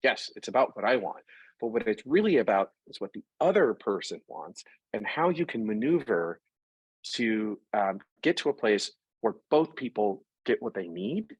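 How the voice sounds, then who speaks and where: A man being interviewed about his work in fashion, talking in a lively, conversational way and working through examples with frequent restarts. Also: fairly low pitch, moderate pace, husky, no room sound, muffled recording. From Puerto Rico. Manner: animated, talks through examples with self-corrections. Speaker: interview guest, fashion product executive.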